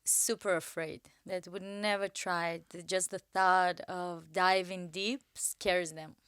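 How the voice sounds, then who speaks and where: A woman guest on a podcast, speaking in a thoughtful, soft-spoken way in casual chat. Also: fairly low pitch, flat delivery, slow pace, smooth, no echo, clean studio sound.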